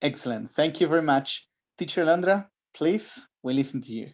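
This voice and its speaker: A man's voice: fairly high pitch, expressive, fairly quick. A man leading an online teacher training session, politely calling on participants and thanking them.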